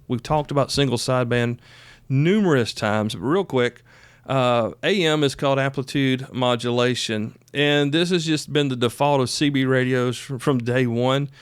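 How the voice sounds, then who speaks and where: A man with a clear, smooth voice talks through a casual instructional podcast. His delivery is folksy and conversational, speaking straight to his listeners. The sound is close and dry, with a mid-range pitch. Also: no room sound. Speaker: podcast host.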